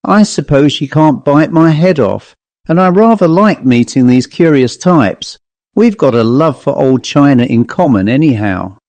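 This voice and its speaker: A man's voice: on the high side, animated, measured pace, a little mellow, no echo. A man voicing a keen, genteel older woman antiques dealer in a storybook reading, chatty and politely determined. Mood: cheerfully determined.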